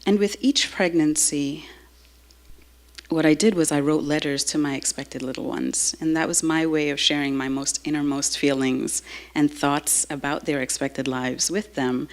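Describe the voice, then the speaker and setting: A woman giving a slow, halting, heartfelt personal talk to a live audience. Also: low-pitched, gravelly. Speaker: speaker sharing a personal story.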